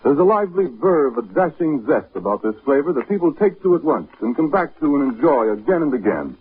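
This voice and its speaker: A man announcing an old-time radio advertisement in a measured, persuasive scripted manner, on a vintage recording. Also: medium pitch, big pitch swings, breathy edge, narrow, tinny audio.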